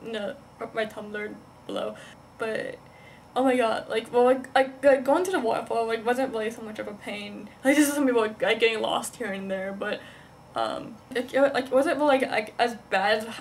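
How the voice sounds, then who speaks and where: Young girl vlogger, kinda high voice, rambly halting chat w lots of "like", bit of noise in the background. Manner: halting, heavy use of "like", rambling. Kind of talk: casual rambling chat to camera. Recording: noticeable background noise, amateur vlog sound.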